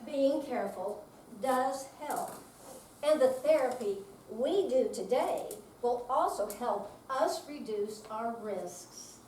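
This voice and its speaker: A woman's voice: a little high, expressive, takes it slowly, a little mellow. A woman playing a chipper, patient visiting physical therapist in a staged dramatic reading. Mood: patient, instructive. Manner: chipper, instructive. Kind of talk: readers theater dramatic reading. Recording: echoey room, distant mic, faint noise.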